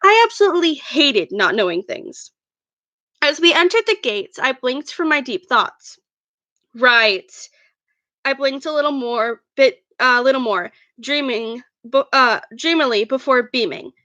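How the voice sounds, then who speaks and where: High, bright-voiced woman reading a story. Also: lively intonation, measured pace, no room sound, muffled recording. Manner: stumbles over words while reading. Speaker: author reading own fan fiction. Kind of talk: first-person story narration, amateur read-aloud.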